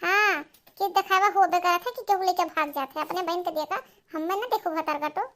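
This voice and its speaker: Woman voicing a shrill, high village mother. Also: moderate pace, fairly bright tone. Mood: suspicious, scolding. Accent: eastern Uttar Pradesh Bhojpuri-Awadhi. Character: meddling, wailing village mother, coarse and comic.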